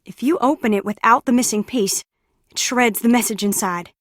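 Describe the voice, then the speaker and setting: A woman's voice: fairly high pitch, normal speed, no room sound, clean studio sound, lightly acted. An actress playing an earnest, troubled young woman in a video game, speaking with urgent, pleading sincerity. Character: earnest, troubled young woman confiding dark family secrets. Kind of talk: scripted game voice acting.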